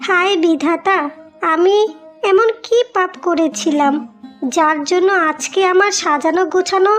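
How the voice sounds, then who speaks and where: A woman's voice: very high voice, fairly even intonation, measured pace, faint music behind. A woman voicing a wronged, pleading young village wife in a melodramatic cartoon. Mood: sorrowful. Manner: plaintive, pleading.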